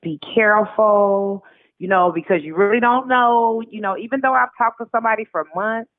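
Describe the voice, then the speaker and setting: A woman's voice: mid-range voice, normal speed, dry recording. A middle-aged American woman speaking as an interview guest in a casual, emphatic, colloquial way over a narrow, tinny line.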